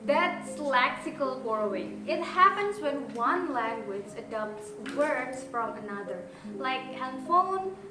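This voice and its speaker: A young woman co-hosting a casual educational podcast, often pausing to search for words. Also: sits high, expressive, noisy background. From Indonesia. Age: young adult. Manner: slow and searching for words, with fillers. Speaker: podcast co-host. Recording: roomy sound with music underneath.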